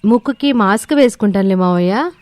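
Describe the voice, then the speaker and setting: A woman voicing a shrewd, sarcastic young village daughter-in-law in an animated moral story, outwardly polite but firm. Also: medium pitch, fairly quick, lightly acted. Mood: sly politeness. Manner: firm, slyly sweet.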